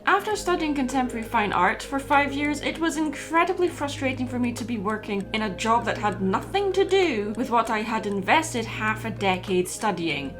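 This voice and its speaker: A woman narrating a scripted personal video essay in a measured, reflective, explanatory way. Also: mid-range voice.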